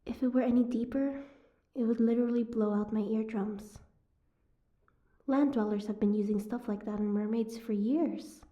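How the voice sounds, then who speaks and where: A woman acts out a young saltwater mermaid held captive, in an audio roleplay. Her voice sits fairly high with a soft, mellow tone. She is guarded and sardonic, with a prickly, teasing edge. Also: steady tone, moderate pace, muffled recording, lightly acted. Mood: resigned, bitter.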